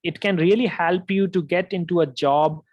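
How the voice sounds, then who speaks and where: Higher-voiced Indian man answering questions. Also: fairly even intonation, moderate pace, dull audio. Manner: reassuring, repeatedly tagging "right?" and "you know". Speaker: coding course instructor. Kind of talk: live webinar Q&A, answering viewer questions aloud.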